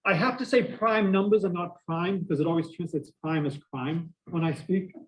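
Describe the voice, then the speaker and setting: Boston guy teaching a math class, kinda high voice, lots of tangents and questions to the room. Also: moderate pace, low-quality recording. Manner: energetic, prone to asides and questions to students. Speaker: math professor. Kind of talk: university lecture.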